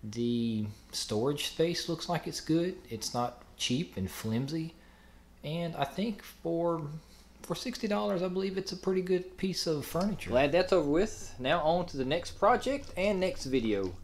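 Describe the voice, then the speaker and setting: A man in his twenties hosting a review channel, calmly explaining step by step how to put furniture together. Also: a little high, unhurried, a little hiss or hum. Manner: plain, matter-of-fact explaining. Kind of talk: instructional product assembly voice-over.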